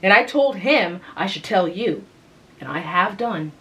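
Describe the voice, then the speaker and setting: A woman reading aloud, voicing a sturdy, practical fourteen-year-old girl who is brisk and motherly. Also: lowish voice, lively intonation, poor audio. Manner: bright, emphatic and chatty.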